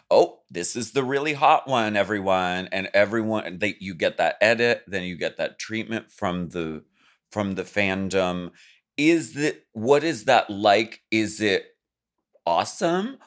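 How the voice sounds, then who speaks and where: A man co-hosting a podcast, gushing over his guest and asking rambling questions. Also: low voice. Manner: enthusiastic, gushing, hesitant questions. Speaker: podcast co-host. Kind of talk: casual podcast chat.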